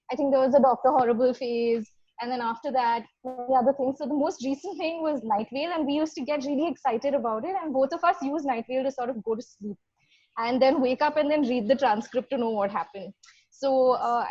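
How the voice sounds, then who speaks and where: A woman chatting casually as a podcast guest, telling stories in an animated way. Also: on the high side, no room sound. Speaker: podcast guest, fiction podcast creator. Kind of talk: casual chat. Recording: dull, lo-fi close dry audio.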